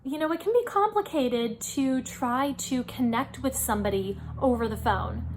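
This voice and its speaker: A woman's voice: high voice, normal speed, a little mellow, slight background noise. A woman hosting an informal advice video, explaining things in a conversational, encouraging way. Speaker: host giving career advice. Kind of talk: informal instructional video talk.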